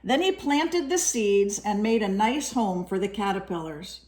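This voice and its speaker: A woman's voice: mid-range voice, expressive, unhurried, darkish tone. A woman teacher reading a storybook aloud to young children, expressively, with simple explanatory asides.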